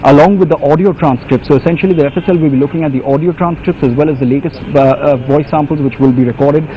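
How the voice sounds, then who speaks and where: A man with an Indian English accent reporting live from the field, repeating and stressing points in a halting way. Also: on the high side, lo-fi sound. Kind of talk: live unscripted news report. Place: outdoors on a street outside police premises. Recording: faint outdoor street noise.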